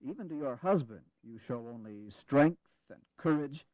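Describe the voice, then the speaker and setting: An actor playing a grave, courteous American Revolutionary general in an audio drama, speaking in a measured, formal way. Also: male voice, mid-range voice, animated, no room sound, lightly acted. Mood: earnest, encouraging. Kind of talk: dramatized history audio acting. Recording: dull, lo-fi 1980s audio drama.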